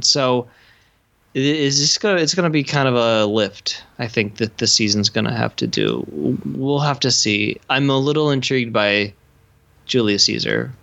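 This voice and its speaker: A man's voice: mid-range voice, lively intonation, moderate pace, vocal fry, pushed and bright, lo-fi sound. A man co-hosting a podcast, chatting casually and jokily about a TV show and acting out little stories. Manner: chatty, jokey storyteller acting out quotes. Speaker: podcast co-host. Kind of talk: casual chat reviewing a TV episode.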